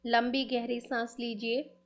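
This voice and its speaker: A woman with an Indian accent guides a meditation in a soothing manner, giving instructions in short, unhurried phrases. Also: on the high side, flat delivery. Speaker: meditation guide, channel host.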